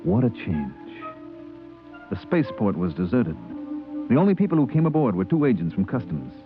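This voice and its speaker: Male outspoken space crewman, dramatic and lively, vintage radio sci-fi, dull recording with faint music. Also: fairly low pitch, normal speed. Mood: grave narration. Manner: emphatic, questioning, dramatic. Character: incredulous, outspoken male spaceship crewman telling his story. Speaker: actor narrating and playing the lead. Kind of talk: 1950s radio drama acting.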